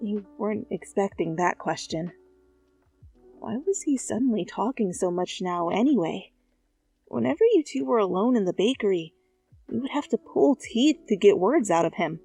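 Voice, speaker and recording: A woman narrating a second-person fan fiction story in a playful, conversational way, with animated asides. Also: medium pitch, moderate pace, husky, soft background music. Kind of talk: expressive second-person story narration, ASMR fanfic reading.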